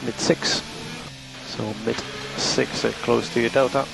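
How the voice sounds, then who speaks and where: A man working as a race engineer, giving measured, matter-of-fact instructions over team radio and repeating the key ones. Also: mid-range voice, level tone, creaky, over music, low-quality recording. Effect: team radio transmission. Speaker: Formula One race engineer. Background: vehicle and engine noise.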